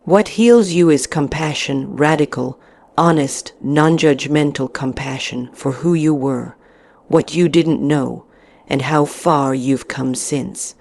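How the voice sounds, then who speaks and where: A woman narrating a scripted guided meditation in a deliberate, soothing manner with frequent pauses. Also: low-pitched, darkish tone, no echo.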